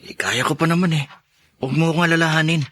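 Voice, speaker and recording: A dutiful eldest son in a Filipino-accented radio play. His voice is lightly high and clear-edged, gentle and reassuring despite being worn out. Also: fairly even intonation, fast talker. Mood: tired, grateful. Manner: reassuring, deferential. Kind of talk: radio drama acting.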